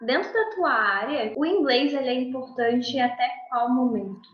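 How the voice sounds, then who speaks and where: A woman's voice: high-pitched, normal speed. A woman interviewing a guest in an informal, conversational way. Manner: informal, uses "tu" forms. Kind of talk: casual interview questions. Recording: echoey room, muffled audio.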